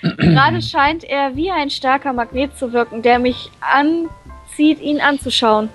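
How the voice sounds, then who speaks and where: A woman's voice: high-pitched, expressive, a little slow. A woman voicing a shy, flustered schoolgirl heroine with a crush in a visual novel, stammering and hesitant. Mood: giddy, anxious. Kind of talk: visual novel dub reading with inner monologue. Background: music.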